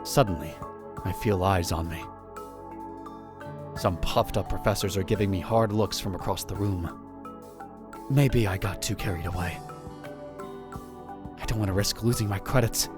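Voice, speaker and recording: A man's voice: deep voice, normal speed, long pauses, over music, lightly acted. A man playing an arrogant, theatrical male college student who narrates a visual novel fan dub in a pompous way. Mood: wry narrating. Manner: pompous, dramatic delivery with exclamations.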